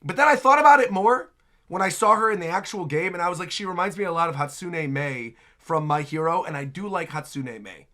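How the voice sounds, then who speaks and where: A man's voice: sits high, lively intonation, brisk, pushed and bright. A man hosting a reaction video, giving chatty, emphatic casual commentary.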